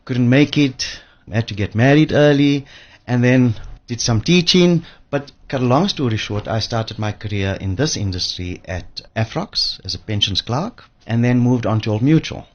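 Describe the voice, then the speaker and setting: A man's voice: mid-range voice, a little slow, highs cut off. An older man with a South African accent, a guest in a radio interview, telling his story in an informal, reflective way. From Johannesburg, South Africa. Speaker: interview guest, pension fund trustee.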